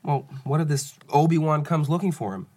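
A man's voice: on the high side, a little fast, dark tone, close to an everyday voice. An actor playing a curious, dreamy young farm boy in a radio drama, with an earnest, wondering manner. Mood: eager, questioning. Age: young adult.

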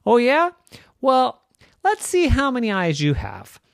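A storyteller voicing a clever, teasing little girl, in a high, bouncy, playful voice. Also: very animated, normal speed, dry recording, clean studio sound, lightly acted.

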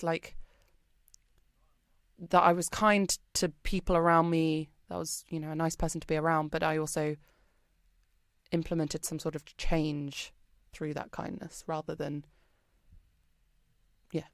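A British woman being interviewed on a podcast, talking in a chatty, expressive way with plenty of ums and likes. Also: low voice, normal speed, long pauses, no echo, studio quality. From Britain. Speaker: podcast guest. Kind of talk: casual interview chat.

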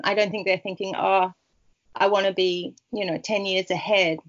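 A woman author from Peru, a Spanish native speaker, talking in a rambling, warm way with many restarts in a casual interview. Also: medium pitch, muffled recording. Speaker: author guest.

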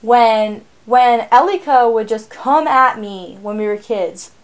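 A teenage girl co-hosting a podcast, chatting casually in an animated, rambling way, often laughing. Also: on the high side, slow. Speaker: podcast co-host. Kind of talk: casual chat.

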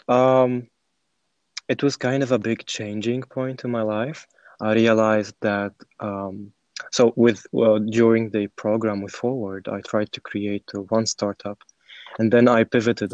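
Halting young man, dull lo-fi recording. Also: lowish voice, a little mellow, no room sound. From Syria. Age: 20s. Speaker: podcast guest, former startup program participant. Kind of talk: conversational personal storytelling in an interview.

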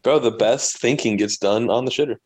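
A man's voice: mid-range voice, a little fast, a little mellow, dry recording. A man in a casual group chat on a podcast, talking in bro-ish slang. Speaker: podcast guest.

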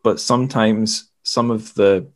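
A man's voice: lowish voice, steady tone, a little slow. A man hosting a podcast in a casual, rambling literary discussion, often correcting himself as he feels his way to a point. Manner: tentative, rambling, self-correcting. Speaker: podcast host, interviewer.

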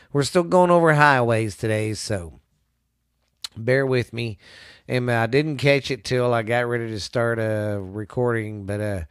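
Stuffy-nosed man, slow and dry. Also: no room sound. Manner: rambling, drops to low spooky whispers. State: stuffy, congested from sinuses. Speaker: podcast host. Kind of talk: casual podcast storytelling.

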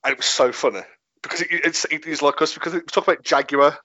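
A man's voice: a little high, fast, bright, forceful tone, no room sound, muffled recording. A man from Birmingham in casual chat on a video call, telling rambling anecdotes and laughing easily. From Birmingham, England.

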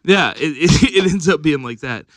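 A man who runs comedy showcases, chatting casually on a podcast and pausing often with fillers. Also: fairly high pitch, expressive, noisy background. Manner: hesitant, many fillers.

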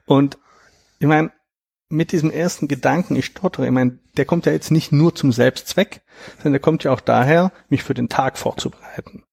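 Gravelly, husky man explaining calmly. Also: a little high, no room sound, clean studio sound. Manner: reflective, measured, explains at length. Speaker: interview guest, author. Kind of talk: conversational interview.

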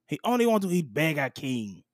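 A man's voice: on the high side, big pitch swings, fairly quick, no echo, clean studio sound. A man voicing a pompous, aggrieved French basketball star with a French accent, dropping French words in theatrically. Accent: French, put on. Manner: theatrical, self-satisfied, peppers speech with French words.

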